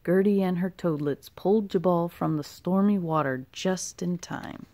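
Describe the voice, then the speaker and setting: A woman's voice: fairly low pitch, unhurried, mellow. A woman reading a children's story aloud as scripted narration. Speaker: storybook reader.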